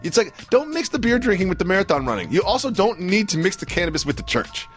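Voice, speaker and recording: A man's voice: sits high, big pitch swings, fast, soft background music. An American man co-hosting casual sports radio banter, speaking emphatically, often repeating himself and asking lots of questions. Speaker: sports talk show co-host.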